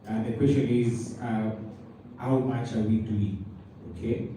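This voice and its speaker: A Kenyan man speaking on a panel as a dairy regulator, halting and full of fillers and restarts. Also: lowish voice, fairly even intonation. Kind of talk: panel talk. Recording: roomy hall from a distance, loud noise.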